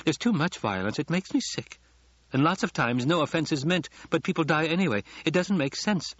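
A man's voice: a little high, lively intonation, brisk, a bit bright, dry recording, muffled recording, voice pushed up. A man voicing a young woman who feigns empty-headed innocence in an audiobook, gushing and naive. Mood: artless, gushing. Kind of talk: audiobook character acting.

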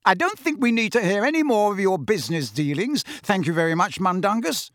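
Stern middle-aged mother, played by a male reader, high-pitched and disapproving, clipped scolding delivery, storybook style. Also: wildly swooping pitch, takes it slowly, a bit bright, husky, dry recording, heavily acted. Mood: sharp.

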